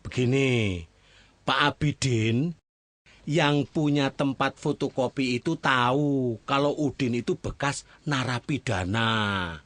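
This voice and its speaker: A man playing a calm, plain-spoken family friend in a drama, explaining things simply. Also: mid-range voice, takes it slowly, dry recording. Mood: serious.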